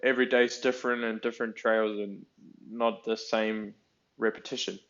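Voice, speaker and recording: A man interviewing someone in a casual chat, asking loose, halting questions that tend to trail off. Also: fairly low pitch, level tone, fairly bright tone. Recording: dull lo-fi audio.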